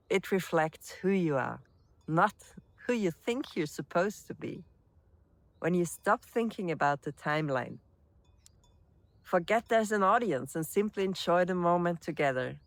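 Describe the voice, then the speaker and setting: A woman with an Austrian accent and a slightly low voice introduces her work as a wedding celebrant. Her delivery is scripted but personal, with reflective, measured phrasing. The recording is dry, with very little room sound. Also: lively intonation, no echo. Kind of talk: promotional self-introduction, scripted narration to camera.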